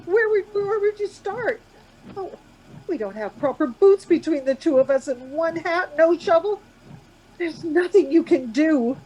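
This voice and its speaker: A woman's voice: animated, unhurried, mellow, low-quality recording. An actress playing an anxious, coaxing woman traveller in a radio play, urgent and reassuring by turns. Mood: firm, reassuring. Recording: background noise with vehicle rumble.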